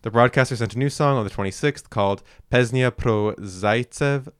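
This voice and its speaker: A man's voice: medium pitch, moderate pace, clear, resonant tone, no echo, clean studio sound. A man co-hosting a casual podcast, reading his notes and chatting with hesitant fillers and restarts. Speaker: podcast co-host.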